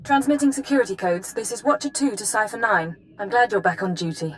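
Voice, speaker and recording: An actress playing an efficient intelligence officer in a game, formal and businesslike. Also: female voice, mid-range voice, fairly quick, slight background noise, lightly acted. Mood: brisk, professional.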